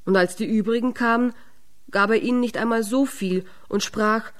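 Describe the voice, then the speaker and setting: A woman narrating a fairy tale in a radio drama, measured and expressive. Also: on the high side. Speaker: storyteller.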